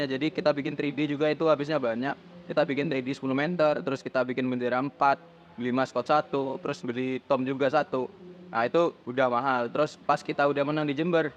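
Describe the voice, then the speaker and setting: A teenage male student answers as a podcast guest in a mid-pitched voice. His speech is plain and explanatory, laid out step by step. The audio is muffled, with faint noise behind him. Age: teens. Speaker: podcast guest, student supporters' club leader. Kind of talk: casual interview answers.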